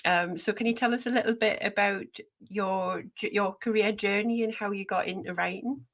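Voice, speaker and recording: A woman's voice: mid-range voice, fairly even intonation, moderate pace. A woman co-hosting a podcast, asking questions hesitantly with frequent "um"s. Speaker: podcast co-host. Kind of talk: casual interview questions.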